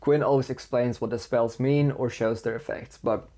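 Mellow-voiced young man reviewing books. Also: mid-range voice, steady tone. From Faroe Islands. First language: Faroese. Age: young adult. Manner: enthusiastic, lists praise in measured steps. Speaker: book reviewer. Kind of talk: scripted book review. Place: indoor room with bookshelves.

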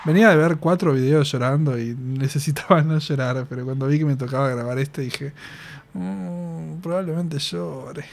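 A man's voice: on the high side, steady tone, normal speed, vocal fry. A man in his thirties with an Argentine Spanish accent, giving casual, affectionate fan commentary as a reaction host, close on a studio microphone. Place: small indoor home studio. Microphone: close studio microphone on boom arm. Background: music.

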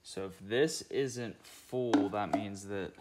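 A man's voice: fairly low pitch, slow, darkish tone. A young man casually talking through a car repair step by step in his garage, thinking aloud as he works. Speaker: car DIY channel host. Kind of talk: casual how-to narration while working. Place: home garage, concrete floor.

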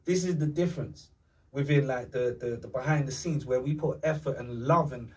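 A man's voice: medium pitch, moderate pace. A man from London with a London accent, talking emphatically in street slang as a podcast guest. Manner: animated, emphatic, street slang, acts out quotes. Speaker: podcast guest, music artist. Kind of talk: casual chat. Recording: distant mic.

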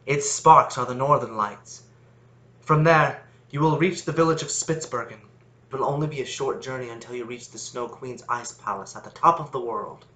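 A man playing a fearful, lonely magician who calls himself lord of the sun, in a deliberate, theatrical monologue. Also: mid-range voice, sharp tone, close to an everyday voice, low-quality recording. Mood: urgent, instructing. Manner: dramatic, incantatory, deliberate.

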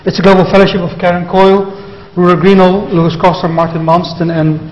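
A man's voice: sits high, flat delivery, fast talker, a little mellow. A man chairing a conference session, introducing the next speakers hesitantly with fillers. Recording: reverberant muffled hall.

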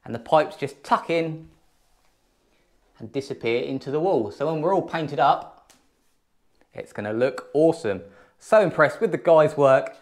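A man's voice: a little high, lively intonation, a little slow, pauses often. A man in his thirties with an English accent, giving an enthusiastic casual walkthrough of his home renovation in an empty room, filmed on an action camera. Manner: enthusiastic, upbeat.

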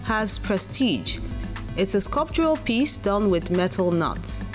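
Female arts presenter, scripted read, mid-range voice over a loud music bed. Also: medium pitch, big pitch swings, slow.

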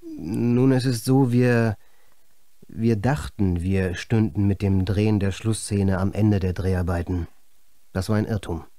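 A subdued, puzzled film assistant being interviewed in a radio drama. His voice is fairly low and soft-toned, earnest and hesitant. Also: a little slow, no room sound, clean studio sound. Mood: troubled, resigned. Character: director's male assistant, baffled, subdued, earnest. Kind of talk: radio play acting as interviewee.

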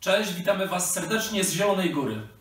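A man's voice: high voice, brisk, a bit bright, faint music behind. A man in his thirties explaining a religious lesson to children from a sofa in a living room. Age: 30s. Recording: very echoey room, slightly distant mic.

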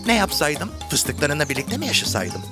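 Fussy, animated little cartoon mouse. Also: male voice, on the high side, normal speed. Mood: indignant. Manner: fussy, theatrical, expressive. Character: small, nervous, indignant cartoon mouse. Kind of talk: animated children's cartoon dialogue. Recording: music bed behind the voice.

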